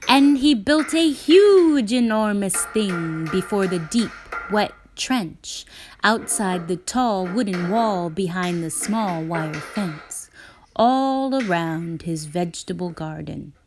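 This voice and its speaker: A woman reading a children's picture book aloud, expressively and dramatically, with drawn-out emphasis on key words. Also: mid-range voice, expressive, darkish tone, a little hiss or hum. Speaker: read-aloud storyteller.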